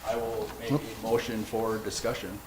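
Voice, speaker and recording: A man serving as mayor, chairing a city council meeting in a brisk, procedural way. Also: fairly low pitch, flat delivery, crowd noise. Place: council chamber. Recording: noisy council chamber recording.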